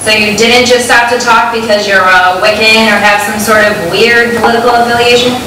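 Actress doing bookish young woman in a play, cool but warming up, far from mic w/ clear noise. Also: on the high side, fairly even intonation, a little fast, lightly acted. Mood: probing. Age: young adult. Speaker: stage actress in a one-act comedy. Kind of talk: live stage comedy acting. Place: indoor theatre stage. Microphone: distant camera. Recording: very echoey, noisy theatre stage from far off.

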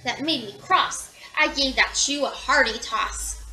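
A woman voicing an excitable, blunt but generous storybook kangaroo, emphatic and exclamatory. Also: sits high, unhurried, reverberant, faint music behind, pitched below the performer's own voice, exaggerated voice, rough recording. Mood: astonished, emphatic.

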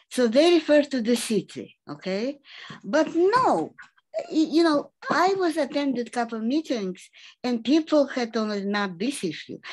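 Russian-accented woman with lively pitch. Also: poor audio. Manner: halting non-native English, emphatic complaints. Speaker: audience member asking a question. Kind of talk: meeting question on a video call. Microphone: video call.

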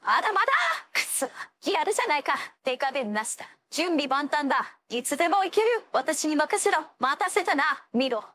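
A proud young ice-wielding swordswoman from an anime fighting game. Her voice sits high for a female. She phrases everything in an old-fashioned, imperious way, stern and commanding. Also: expressive, normal speed, fairly bright tone. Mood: confident, commanding. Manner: archaic, haughty, commanding phrasing.

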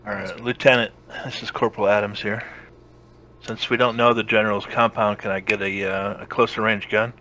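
A man's voice: lowish voice, flat delivery, brisk, sharp tone, highs cut off, slight background noise. A man chatting casually as a player in a tabletop roleplaying game.

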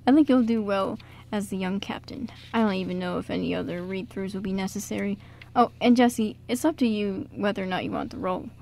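A woman's voice: medium pitch, brisk, gravelly, a little mellow, close to an everyday voice. A woman playing a genial male stage director in an amateur radio drama, jaunty and mock-formal. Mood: encouraging.

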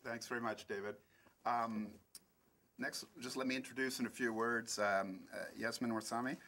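A man's voice: medium pitch, steady tone, normal speed, sharp tone. A man emceeing an event, introducing the next speaker in a hesitant way, with many fillers.

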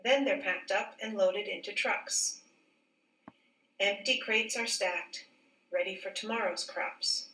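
A woman teacher reading a picture book aloud in a careful, expressive storybook manner. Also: medium pitch, slow. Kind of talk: read-aloud storybook narration. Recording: roomy echo, distant mic.

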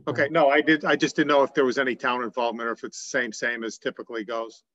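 A man's voice: mid-range voice, flat delivery, fast, a bit bright. A man on a town board asking questions during a video call meeting, often repeating words. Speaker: board member. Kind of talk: casual meeting discussion. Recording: dull video call audio.

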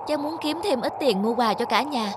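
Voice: mid-range voice, lively intonation, brisk, background noise, lightly acted. Earnest, polite young male flower seller, acted.

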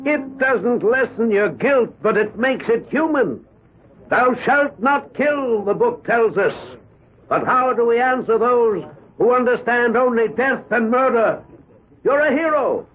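An actor playing an impassioned, persuasive defense lawyer, urgent and declamatory, in a 1970s radio drama. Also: male voice, very high-pitched, lively intonation, measured pace, husky, exaggerated voice. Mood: urging. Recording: phone-like muffled 1970s radio recording.

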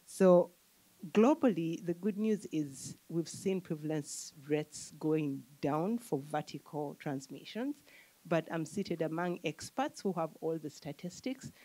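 A woman in her thirties from Uganda, speaking as an economist on a panel into a handheld mic, explaining in a measured way and listing her points. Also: low voice, animated, dark tone, rough recording. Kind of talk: panel discussion answer. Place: conference room with audience.

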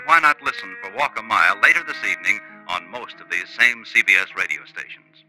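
Male 1950s radio announcer, mid pitch, forceful piercing tone, vintage broadcast recording. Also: low-quality recording.

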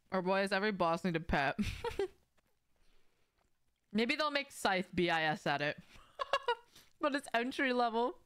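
A woman's voice: mid-range voice, a bit bright, smooth. A young woman game streamer chatting casually with her viewers while playing, informal, slangy and prone to swearing. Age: young adult. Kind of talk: casual livestream chat with viewers while gaming. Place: indoors, small home room with shelves.

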